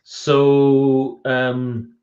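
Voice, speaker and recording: A man's voice: medium pitch, little pitch movement, drawn-out words, darkish tone, highs cut off. A Welsh man, an author being interviewed on a video call, talking in a hesitant, self-deprecating way with many ums and restarts. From Wales. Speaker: author guest. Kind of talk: casual online chat interview.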